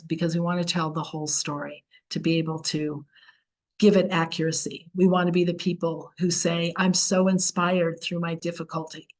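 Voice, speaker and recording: A woman's voice: fairly low pitch, low-quality recording. A woman teaching a Torah class on a video call, explaining earnestly while her voice is going. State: losing her voice.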